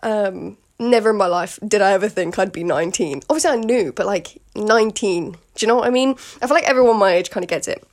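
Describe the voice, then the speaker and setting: A woman's voice: mid-range voice, fast, hardly any pauses. A British woman in her late teens talks candidly in a casual solo podcast, rambling with lots of fillers and asides to the listener. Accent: British English. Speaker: podcast host.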